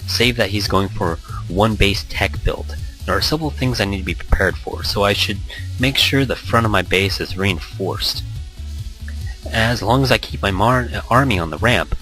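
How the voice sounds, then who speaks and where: A man's voice: fairly low pitch, pushed and bright, low-quality recording. A man reading a visual novel aloud with expression, stumbling over words now and then and rereading them, mixed with casual asides. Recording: background music under the voice. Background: music.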